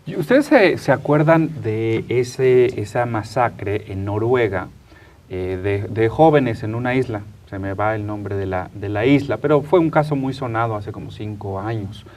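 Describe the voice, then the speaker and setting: A man with a Mexican accent hosting a studio interview, speaking conversationally and haltingly as he searches for his words. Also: lowish voice, expressive, darkish tone. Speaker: talk show host and interviewer.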